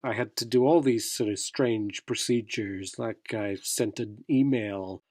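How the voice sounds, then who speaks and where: A man's voice: on the low side, unhurried, darkish tone, no room sound. Man acting an earnest young intern, dry sound. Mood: earnest, confiding. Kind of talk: radio drama acting, conversational interview answers.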